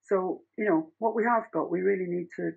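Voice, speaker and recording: Woman, middle-aged, husky, chatty art teacher, home studio, dull lo-fi sound. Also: lowish voice, brisk. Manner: chatty, rambling, practical. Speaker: painting teacher. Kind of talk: painting tutorial. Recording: muffled lo-fi home recording.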